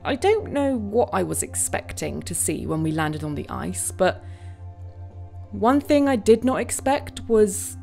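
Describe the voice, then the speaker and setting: An actress playing a plucky young bush pilot, delivering a confiding dramatic monologue in an audio play. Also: female voice, mid-range voice, big pitch swings, unhurried, vocal fry, darkish tone, faint music behind. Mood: reflective. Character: plucky young female bush pilot narrating her story.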